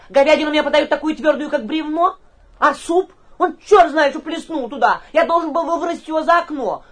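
An actor playing a flighty, cowardly young gentleman from the capital who blusters, stammers and repeats himself in a theatrical radio comedy. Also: extremely high pitch. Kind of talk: theatrical radio play acting of a classic comedy.

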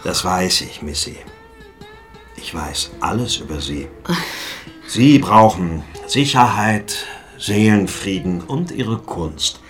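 Low-voiced, wry police inspector. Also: male voice, animated, takes it slowly, soft background music. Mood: knowing, coaxing. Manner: courteous, knowing, wry, insinuating. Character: shrewd, ailing male police inspector, sardonically polite. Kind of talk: radio drama dialogue.

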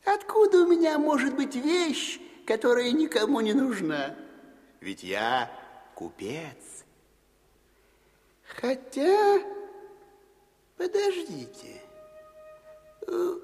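An actor playing a flattering, cunning travelling merchant in an audio fairy tale, with an unctuous, theatrical manner. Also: male voice, very high-pitched, heavily acted. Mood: sly, hesitant. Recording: long reverb, music behind.

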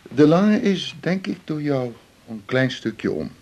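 An actor playing a matter-of-fact male hospital colleague in a radio drama, brisk and factual. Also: a little high, very animated, a little mellow, exaggerated voice.

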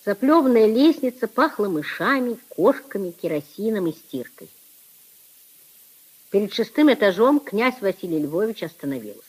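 A woman giving deliberate, expressive literary narration in a 1950s radio drama, with a husky, soft tone. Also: mid-range voice, rough recording.

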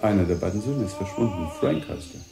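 An actor playing a composed gentleman who calmly probes with questions in a radio drama. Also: lowish voice, fast, creaky, over music. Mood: calm probing. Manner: calm, probing questions. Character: probing, composed gentleman host, Tom Ripley.

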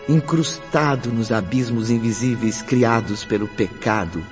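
A man narrating a radio drama in a solemn, measured way. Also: mid-range voice. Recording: dull lo-fi audio with music bed.

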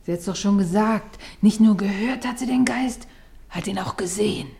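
A woman's voice: medium pitch, moderate pace, vocal fry, dark tone, lightly acted. An actress playing a stubborn, superstitious working-class woman in a 1950s German radio drama, earnest and nagging. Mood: defiant.

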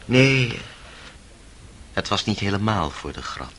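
Intense, husky brooding intellectual. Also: male voice, lowish voice, very animated, slow pace, a bit bright, exaggerated voice. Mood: troubled. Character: brooding, intellectual, sardonic son, a tormented sceptic. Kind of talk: radio drama acting.

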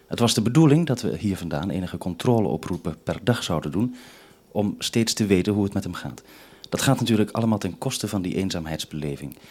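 A male radio interviewer with a lowish, husky voice. He words his questions formally and carefully, as one does over a two-way link. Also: expressive, brisk, darkish tone.

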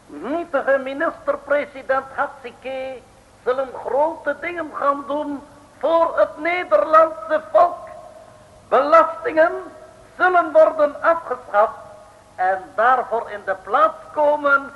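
A grandiose, scheming foreign villain from a vintage comic radio play. The delivery is very high and drawn out, formal and stilted in broken Dutch, on a dull old recording. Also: male voice, takes it slowly. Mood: pompous. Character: pompous, scheming foreign male villain, self-styled prime minister. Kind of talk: 1950s-60s comic radio play acting.

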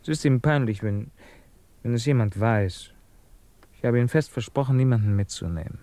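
A man's voice: medium pitch, mellow, no echo, natural voice. A man acting a troubled, evasive, restless lover. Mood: evasive, pleading. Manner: hesitant, halting, repeating himself. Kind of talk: German radio play acting.

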